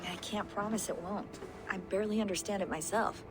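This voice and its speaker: Actress as brisk, practical woman technician. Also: medium pitch, faint music behind, close to an everyday voice. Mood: cautious, concerned. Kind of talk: naturalistic video game acting. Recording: faint background noise and ambience.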